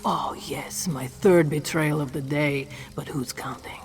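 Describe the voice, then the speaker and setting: Man acting quarrelsome male adventurer, video game, higher pitch, dry mockery, blunt, faint music. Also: steady tone, measured pace, natural voice. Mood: bitter sarcasm. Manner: dry, mocking. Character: Casca, sarcastic, blunt, quarrelsome adventuring companion. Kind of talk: video game character dialogue.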